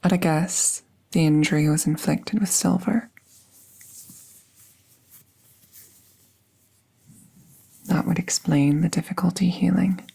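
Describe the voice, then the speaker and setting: A woman playing a calm, gentle magical veterinarian in a soft, breathy, soothing ASMR-style roleplay, often half-whispering. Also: fairly low pitch, exaggerated voice, poor audio. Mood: focused, concerned. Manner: slow, hushed, soothing, reassuring. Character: gentle, calm female magical veterinarian treating a wounded werewolf.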